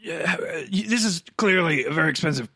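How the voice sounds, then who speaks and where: A man's voice: a little high, animated, fast, gravelly, pushed and bright, husky, exaggerated voice. A man playing a glib, falsely friendly journalist in a tabletop game, patronising and repetitive as he coaxes. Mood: smarmy, coaxing.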